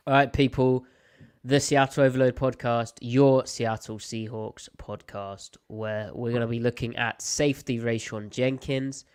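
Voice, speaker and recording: A man's voice: mid-range voice, steady tone, a little slow, clear, resonant tone. A young British man hosting a live sports podcast, chatting in a playful, opinionated way, a little snuffly from a slight cold. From United Kingdom. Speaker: podcast host. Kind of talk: casual live podcast chat.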